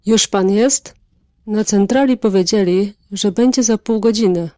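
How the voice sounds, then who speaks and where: A woman's voice: mid-range voice, measured pace, dark tone, no echo, studio quality, natural voice. A woman playing an apologetic, fretful taxi passenger in an audio drama. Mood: surprised. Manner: apologetic, worrying.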